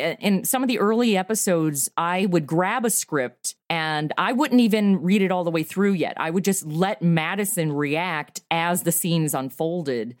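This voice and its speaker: A middle-aged woman with a fairly low voice talks conversationally as an interview guest. She explains things with enthusiasm, and the sound is dry, with very little room in it. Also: no echo. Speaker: interview guest, comedy writer and voice performer. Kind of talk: conversational interview about own work.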